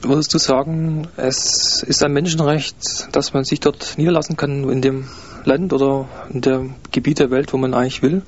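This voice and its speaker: A male interviewer who asks plain questions in a flat, level voice and sometimes stumbles over them. The recording is dull and lo-fi, with a faint hiss underneath. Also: medium pitch, moderate pace.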